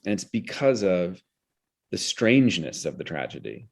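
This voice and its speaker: A man explaining his ideas in an interview in an articulate, analytical way, with measured phrasing. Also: low-pitched, sing-song, creaky, breathy edge. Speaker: guest, theater director.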